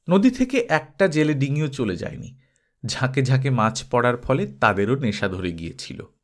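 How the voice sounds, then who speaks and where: A man reading a literary story aloud as an audiobook narrator, in an expressive, dramatic storytelling manner. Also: mid-range voice.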